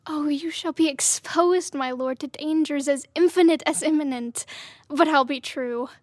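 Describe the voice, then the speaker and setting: A woman's voice: high voice, a little slow, no room sound, clean studio sound. A young woman playing a passionate, grief-stricken young Trojan woman in love, with impassioned verse delivery in a Shakespearean audio drama. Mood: anxious, wounded. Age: young adult.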